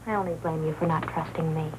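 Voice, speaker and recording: An American actress playing a scheming, defiant young wife in a 1940s noir film, with an old soundtrack sound. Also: female voice, deep voice, steady tone, fast, noisy background, close to an everyday voice. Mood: cool, needling.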